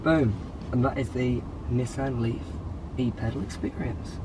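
A young man, hoarse from losing his voice, vlogging excitedly to a handheld camera outdoors at a busy event. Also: medium pitch, measured pace, heavy background noise. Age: young adult. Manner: excitable, chatty, jokey. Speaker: football vlogger. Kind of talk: casual vlog to camera. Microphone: handheld camera at arm's length.

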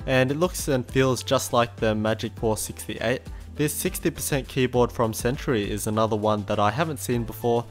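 A young man with an Australian accent, narrating an easygoing voice-over of a shopping trip. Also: mid-range voice. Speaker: vlogger.

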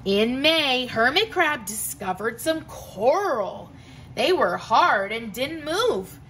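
Woman, teacher type, storybook read-aloud; high, bright, unhurried, very lively intonation. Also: slight background noise. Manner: slow, gentle, expressive reading with voiced quotes. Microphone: camera above the book, hands seen turning pages.

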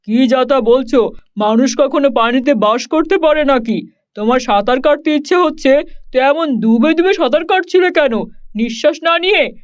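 A good-hearted young cowherd played by a man, self-important and full of exclamations. The cartoon voice is very high, on slightly dull lo-fi audio. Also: normal speed. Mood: incredulous. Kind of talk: children's cartoon voice acting.

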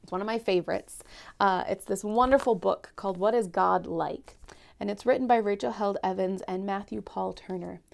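A young woman giving a gentle Lent reflection at home and reading a picture book aloud, speaking softly and often near a whisper into a lapel mic. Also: mid-range voice, normal speed, creaky. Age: young adult. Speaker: church speaker. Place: home living room, indoors.

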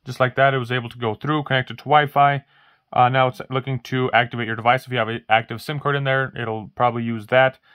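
A man's voice: medium pitch, little pitch movement, fast, a bit bright, no room sound, clean studio sound. A man hosting a casual phone tutorial, rambling through steps with many fillers and restarts while showing a phone on a table. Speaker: tech tutorial host. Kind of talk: casual how-to walkthrough. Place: indoors at a wooden table, voice-over of hands-only phone demo.

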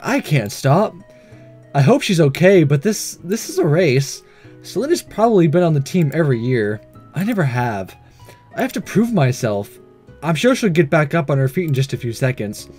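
Man reading an earnest self-doubting schoolboy, high voice. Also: big pitch swings, normal speed, darkish tone, faint music behind. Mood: determined, conflicted. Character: earnest, self-doubting teenage schoolboy narrating his thoughts. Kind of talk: visual novel read-aloud.

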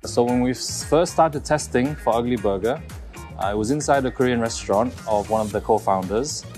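A man's voice: mid-range voice, brisk, darkish tone, soft background music. Man from Hong Kong speaking to camera. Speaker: restaurant co-founder and chef. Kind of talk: to-camera interview segment. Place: restaurant dining room. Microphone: lapel.

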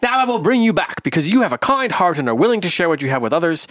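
A man's voice: big pitch swings, rapid-fire, fairly bright tone, slight background noise. A man voicing a little old gray man of the forest, using a put-on accent in a raised voice. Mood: kindly. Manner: put-on accent, higher pitched.

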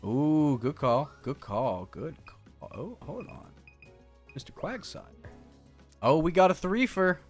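A man's voice: fairly high pitch, sing-song, takes it slowly, pauses often. A man in his thirties giving casual, playful, slangy video game commentary alongside a co-host. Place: indoor streaming setup. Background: game music.

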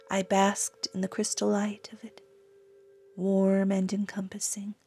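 A woman recites contemplative poetry very slowly in a soft, mellow voice. Her delivery is breathy and often sinks toward a whisper, with an even, restrained melody. Faint music sits behind her. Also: medium pitch. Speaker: poet reciting own original poem.

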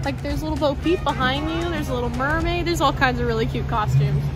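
A woman's voice: high voice, fast, loud music behind the voice. A young woman filming a road trip, chatting with a cheerful, teasing tone. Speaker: co-host.

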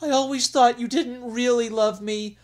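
A man voicing a spoiled young dragon queen who pleads and sobs in a high voice. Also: moderate pace. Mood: tearful.

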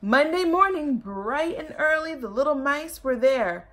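A young woman reads a picture book to small children. Her voice is fairly high and very animated, and she takes her time, enunciating like a teacher and pausing to address the listeners. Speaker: storytime reader. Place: indoor room at home.

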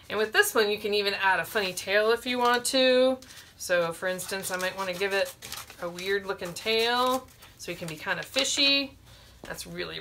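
A woman's voice: a little high, vocal fry, sharp tone, a little hiss or hum. A woman in her forties hosting a children's storytime, explaining a simple craft step by step from a small room. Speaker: librarian, storytime host.

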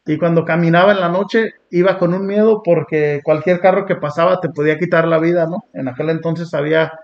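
A man from Long Beach, California, hosting a podcast and talking in an earnest, reflective way with blunt street slang. He sits on a small dark indoor studio set. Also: on the high side, steady tone, a little fast. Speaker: podcast host. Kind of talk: casual reaction chat. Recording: muffled lo-fi sound.

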